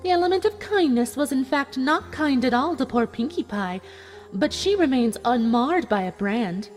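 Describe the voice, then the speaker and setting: A woman's voice: high voice, expressive, normal speed, voice pushed up. A woman voicing a smug, cruel female unicorn villain in a fan fiction reading, with a taunting, mock-polite manner. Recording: long hall reverb, music behind.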